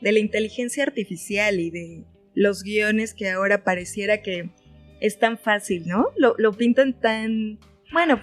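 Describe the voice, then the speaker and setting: Casual, playful Mexican woman host. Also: mid-range voice. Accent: Mexican Spanish. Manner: playful, informal. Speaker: podcast host, interviewer. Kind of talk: casual chat. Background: soft plucked guitar music.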